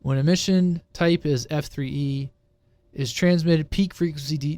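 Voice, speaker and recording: A man's voice: fairly high pitch, a little mellow, dry recording. Man in a dry, close recording, explaining casually. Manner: informal, rambling, repeats himself to make the point. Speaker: presenter explaining radio technology. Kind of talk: casual, unscripted screen-share explainer. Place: indoors at a computer, sharing his screen.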